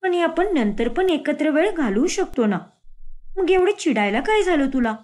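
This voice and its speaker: Cartoon role: bright, eager young woman, higher voice; a woman voices her. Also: sing-song, moderate pace. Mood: indignant. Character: young mistress, eager and sweet.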